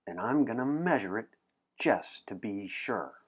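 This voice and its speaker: A man's voice: lowish voice, animated, a little slow, breathy edge. A man voicing a serious, bookish little boy from a picture book, with a formal, precise and exasperated manner. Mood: prim, businesslike.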